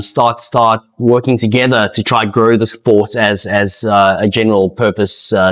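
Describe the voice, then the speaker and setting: A man with a South African accent giving casual, unscripted interview answers in a hesitant, halting way, often repeating words. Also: lowish voice. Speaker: interviewee, amateur speedsoft player and organiser.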